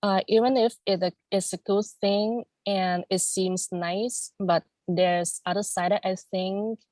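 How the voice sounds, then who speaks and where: Young Thai woman student, slow, calm formal answers, dry sound. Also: medium pitch, dry recording. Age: young adult. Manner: calm, careful, formal wording. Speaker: university student. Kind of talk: mock job interview answers.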